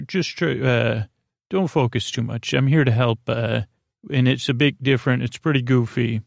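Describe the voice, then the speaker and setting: A male podcast host with a gravelly, fry-heavy voice at a middling pitch. He talks in a meandering, halting bedtime monologue, circling back and repeating himself as he wanders off topic. The sound is very dry, with no room around it. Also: no room sound. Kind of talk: rambling bedtime podcast intro, casual monologue.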